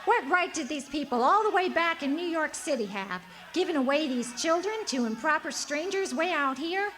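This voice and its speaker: Woman playing a high-voiced, haughty frontier agitator. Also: lively intonation, moderate pace, fairly bright tone. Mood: scornful. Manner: haughty, scornful, haranguing. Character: self-righteous, bigoted frontier townswoman, indignant agitator. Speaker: radio drama actor. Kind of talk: live radio drama acting. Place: hotel event room, live performance. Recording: live stage recording, faint noise.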